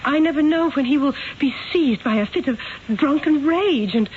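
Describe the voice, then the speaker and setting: A woman performs a troubled, pleading wife in an old-time radio drama. Her high voice is dramatic and insistent, coming through a thin, narrow, slightly hissy recording. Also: moderate pace, close to an everyday voice. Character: distressed, pleading, insistent wife in Renaissance Florence.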